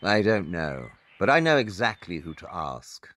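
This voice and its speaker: An actor playing a shrewd, self-assured detective with a clipped, didactic manner in an audio drama. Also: male voice, sits low, very animated, moderate pace, a bit bright, slight background noise. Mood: inquisitive, assured.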